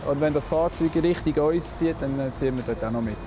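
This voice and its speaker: A man's voice: fairly high pitch, fast talker, music in the background. A man with a Swiss German accent, a police officer explaining his work casually on the job.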